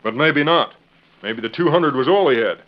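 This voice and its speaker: An actor playing a surly, defiant frontier jail guard with rustic, grumbling western talk in 1940s radio style. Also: male voice, medium pitch, expressive, moderate pace, close to an everyday voice. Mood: reluctant. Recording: dull vintage radio drama recording.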